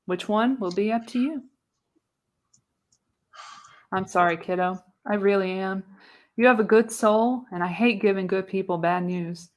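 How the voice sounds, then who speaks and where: A woman's voice: medium pitch, normal speed, lightly acted. Radio play role: older female seer, folksy, wry, knowing, acted by a woman at a table read. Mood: grave, sympathetic.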